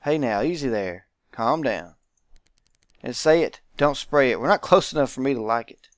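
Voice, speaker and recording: A man's voice: mid-range voice, animated, moderate pace, dry recording, studio quality, close to an everyday voice. A man voicing a grumpy, sarcastic fat clerk from a visual novel, dismissive and easily bothered. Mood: irritated, sarcastic. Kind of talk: visual novel character read-aloud.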